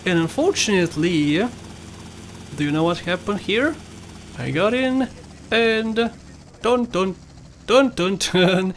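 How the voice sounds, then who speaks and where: A man with a Bulgarian accent narrates his gameplay in a loose, chatty way, laughing often and teasing himself. His voice sits high for a man. A faint hiss runs under the recording. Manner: chatty, laughs often, playful self-mocking. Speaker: gaming YouTuber. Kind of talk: casual gameplay commentary.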